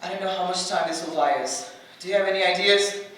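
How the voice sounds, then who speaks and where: Echoey, distant stage role: actor as fretful, self-doubting young hero, very high voice, hesitant delivery. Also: male voice, fast talker, a bit bright. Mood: doubtful. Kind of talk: staged reading stage acting. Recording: echoey room, distant mic.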